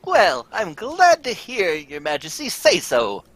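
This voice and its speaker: A woman playing a hearty, proper gentleman sidekick in an amateur radio drama, exclamatory and husky. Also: lowish voice, huge pitch swings, takes it slowly, no echo, full character voice. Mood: genial, confiding. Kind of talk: amateur old-time radio drama reading.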